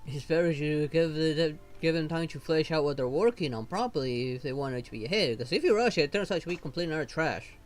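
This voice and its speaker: A streamer reading game dialogue aloud and commenting on it, often halting and correcting themselves. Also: medium pitch, expressive, faint music behind. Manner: halting when reading, stumbles and self-corrects. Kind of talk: gameplay commentary with improvised read-aloud dialogue. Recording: noticeable background noise.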